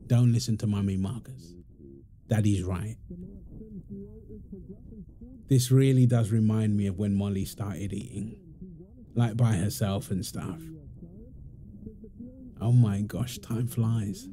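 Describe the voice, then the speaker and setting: A man playing a playful, affectionate young father in an intimate roleplay audio, chatting casually and softly as he teases. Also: lowish voice, fairly even intonation, measured pace, pauses often, background noise, lightly acted. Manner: teasing, soft-spoken, chuckling, informal.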